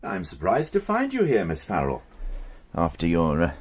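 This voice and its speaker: A shrewd English police inspector for radio crime drama. His voice is very low and rough-edged. He questions formally and courteously, with calculated hesitations. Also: huge pitch swings, full character voice. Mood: probing, courteous. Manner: probing, formal, deliberately hesitant when questioning.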